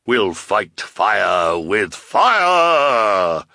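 A man's voice: low-pitched, expressive, slow pace, bright, forceful tone, dry recording, studio quality, exaggerated voice. A man voicing an imperious, commanding, sinister villainess in an audiobook story. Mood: triumphant.

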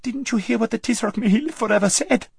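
A man voicing a frightened, spoilt young noblewoman in an audiobook, with a squeaky, breathy whine. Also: expressive, moderate pace, a little mellow, dry recording, exaggerated voice. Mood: tearful. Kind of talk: audiobook character acting.

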